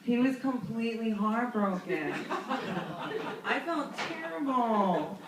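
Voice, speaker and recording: A woman's voice: fairly high pitch, expressive, slow, noisy background. Chatty woman comic in a roomy club. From New York. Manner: chatty, self-mocking, laughs through her punchlines. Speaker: stand-up comedian. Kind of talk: stand-up comedy storytelling. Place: comedy club stage. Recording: roomy club, mic from a distance.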